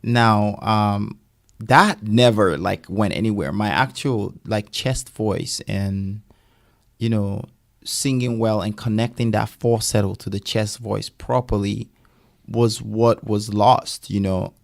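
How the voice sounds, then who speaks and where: A man's voice: lowish voice, slow, creaky, dry recording, clean studio sound. A man, a singer and podcast guest, talking casually and emphatically about his music and life. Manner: animated, emphatic storytelling with laughs.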